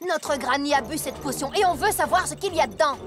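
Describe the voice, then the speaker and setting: A woman's voice: sits high, brisk, close to an everyday voice. A woman voicing a sensible, skeptical young farm pony, frank and protective, in a French-dubbed children's cartoon. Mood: determined. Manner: frank, doubtful. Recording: loud music under the voice.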